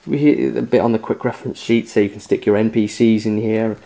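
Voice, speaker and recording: A man's voice: on the low side, fairly even intonation, brisk, creaky, mellow. Enthusiastic man reviewing tabletop games. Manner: enthusiastic, chatty, emphatic. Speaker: host of a tabletop gaming video series. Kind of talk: casual unboxing review, chatting to viewers.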